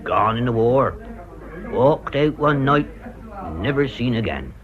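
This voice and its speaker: Folksy village gossip, lively intonation. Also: male voice, mid-range voice, slow pace, crowd noise. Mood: gossipy. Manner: folksy, clipped, dialect phrasing. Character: gossipy, loyal, cagey village man, a war veteran. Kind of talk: British radio drama acting. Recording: dull, muffled radio recording.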